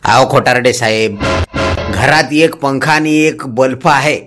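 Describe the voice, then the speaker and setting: A man's voice: mid-range voice, unhurried, natural voice. Man playing blunt farmer, music behind. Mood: indignant. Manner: blunt, scolding, colloquial. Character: hot-tempered, blunt village farmer uncle, outraged and poor. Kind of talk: Marathi comedy cartoon dialogue.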